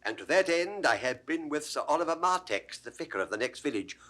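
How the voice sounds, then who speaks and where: An actor playing a witty, bawdy court jester with mock-pompous relish in a 1960s Shakespeare audio drama. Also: male voice, a little high, big pitch swings, a little fast, a bit bright. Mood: teasing, mock-learned. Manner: theatrical, wordplaying, mock-pompous.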